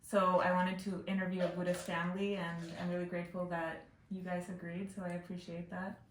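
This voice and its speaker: Вежливая женщина-интервьюер, гулкая комната. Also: female voice, fairly low pitch, flat delivery, a little fast, slight background noise. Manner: polite, grateful. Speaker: student interviewer. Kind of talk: interview questions. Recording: echoey room.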